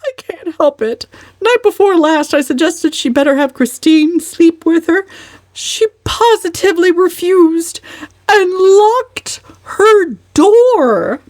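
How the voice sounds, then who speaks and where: A woman's voice: very high voice, expressive, slow pace, darkish tone, no room sound, voice pushed up, full character voice. A woman voicing a tearful, put-upon older mother in a whiny, sobbing voice for an audiobook. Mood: tearful complaint.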